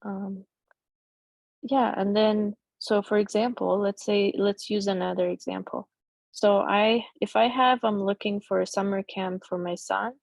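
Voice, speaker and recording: A middle-aged woman whose first language is Persian, casually walking through a software demo on a video call with many fillers and restarts. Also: medium pitch, steady tone, unhurried, vocal fry, dry recording. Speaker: presenter demoing a chatbot tool.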